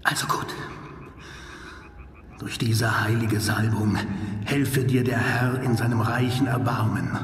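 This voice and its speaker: A man acting in a German radio drama as a grave, commanding vampire-hunting professor who speaks in deliberate oratory. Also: medium pitch, fairly even intonation, background noise, lightly acted. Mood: hushed, solemn. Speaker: voice actor. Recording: music behind the voice.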